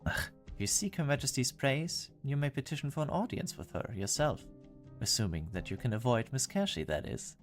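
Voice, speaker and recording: Actor as stern, formal officer, animated delivery. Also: mid-range voice, a little fast, faint music behind, lightly acted. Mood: formal, dry. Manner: formal, clipped, dryly reproachful. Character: stern, formal, dry, exasperated royal officer. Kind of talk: amateur game fan dub acting.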